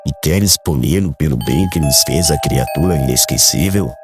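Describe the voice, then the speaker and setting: Very deep, gentle old father, acted by a man. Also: music in the background. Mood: gently reproachful. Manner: measured, gentle, formal. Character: grieving, calm, kindly old rural father. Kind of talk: dramatized audiobook acting.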